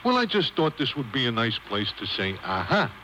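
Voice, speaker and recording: An actor playing an amiable, slow-witted cab driver in a 1950s radio drama, slangy, chatty and comically puzzled. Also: male voice, medium pitch, wildly swooping pitch, bright, forceful tone. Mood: puzzled, affable. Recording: dull, noisy cassette of old radio broadcast.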